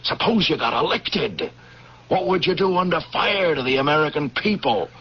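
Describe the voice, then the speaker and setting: An actor playing a bitter, vengeful Union Army major haunted by prison camp, intense and brooding, in old-time radio drama style. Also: male voice, a little high, animated, normal speed, husky. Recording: muffled, noisy old radio drama tape.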